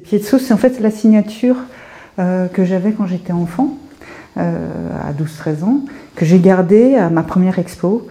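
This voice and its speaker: A woman in her fifties from France, an artist telling her story in an informal interview in a hesitant, halting, reflective way. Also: fairly low pitch, warm tone. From France, Paris suburbs. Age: 50s. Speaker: visual artist being interviewed. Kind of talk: informal guided-tour interview.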